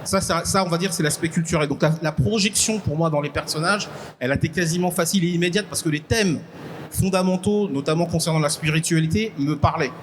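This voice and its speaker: A man from Congo, a guest on a live podcast panel, explaining his ideas in a halting way and repeating words as he thinks. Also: fairly high pitch. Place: convention stage before a live audience. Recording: noisy live event ambience.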